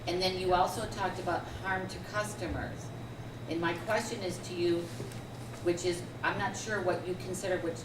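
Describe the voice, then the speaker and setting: A woman speaking up at a public hearing, loud and direct as she questions the judge. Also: lowish voice, fairly even intonation, moderate pace. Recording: echoey room recorded from far off, noise.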